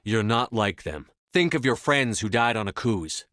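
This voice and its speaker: A man acting in a video game as a commanding, resolute space soldier, speaking firmly with measured authority. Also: lowish voice, animated, a bit bright, dry recording, studio quality. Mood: firm, persuasive. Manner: firm, authoritative, measured delivery. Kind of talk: scripted game dialogue acting.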